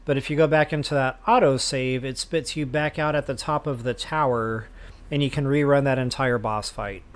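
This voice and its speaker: A man's voice: mid-range voice, fairly even intonation, moderate pace. A man talking casually to his viewers as a game livestreamer, chatty and informal. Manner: chatty, addresses viewers as guys and y'all. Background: game music.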